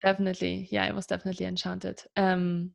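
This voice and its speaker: A woman's voice: on the low side, monotone, fast talker, vocal fry, dull audio. A German woman in her thirties, a guest in a casual interview, talking in a chatty way with lots of "kind of" and "you know".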